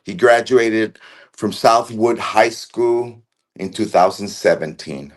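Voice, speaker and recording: A man of Argentine background, a guest on a video call, recalling events slowly with many fillers, restarts and pauses. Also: lowish voice. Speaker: interview guest. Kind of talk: casual recollection.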